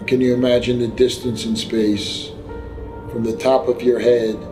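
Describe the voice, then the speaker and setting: Guy doing guided meditation, super slow and gentle, long pauses, music playing behind him. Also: medium pitch, little pitch movement, low-quality recording. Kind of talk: guided meditation, scripted narration. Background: background music.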